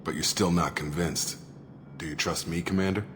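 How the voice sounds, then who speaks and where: Earnest soldier man from a video game, really deep voice, plain spoken, echoing reverb. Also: fairly even intonation, normal speed, a bit bright. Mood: earnest, respectful. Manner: straightforward, respectful. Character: earnest, plain-spoken male soldier, loyal but disillusioned. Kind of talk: video game dialogue acting. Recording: reverberant, noisy game audio.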